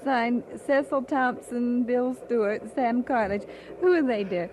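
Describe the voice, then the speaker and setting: A woman's voice: fairly high pitch, a little slow, close to an everyday voice. Woman playing a tender young bride, noisy old radio. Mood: amused. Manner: tender, gently teasing. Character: affectionate young bride, gently teasing her husband. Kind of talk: old-time radio drama acting.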